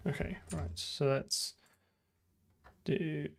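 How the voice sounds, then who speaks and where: A man's voice: a little high, steady tone, very slow, creaky, a little hiss or hum. A man thinking aloud in casual live coding commentary, muttering to himself and trailing off mid-thought. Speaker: programmer livestreaming game development.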